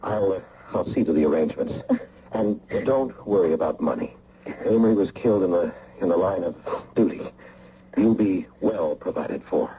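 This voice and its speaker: An actor playing an evasive, anxious company boss in a radio mystery, speaking hesitantly. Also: male voice, sits low, dark tone. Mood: somber. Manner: hesitant, halting. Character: evasive, anxious male company boss hiding his guilt. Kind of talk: old-time radio mystery acting. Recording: noisy, muffled old radio recording.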